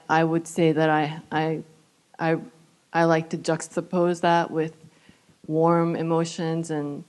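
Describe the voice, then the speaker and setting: Unhurried, lowish-voiced woman from Japan. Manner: searching, hesitant. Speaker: composer, panelist. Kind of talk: panel discussion, casual answers. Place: live event venue with audience.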